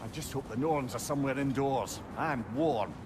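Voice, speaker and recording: A man's voice: mid-range voice, normal speed, very noisy, close to an everyday voice. A man playing a clever, talkative old advisor in a video game, with a Scottish accent and a wry, droll manner. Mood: wry, chatty. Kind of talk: cinematic video game acting.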